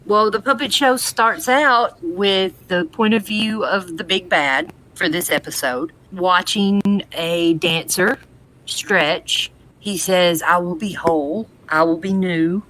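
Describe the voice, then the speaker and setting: Woman, slightly low voice, podcast co-host, casual recap, conversational. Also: takes it slowly, a bit bright, slight background noise, low-quality recording.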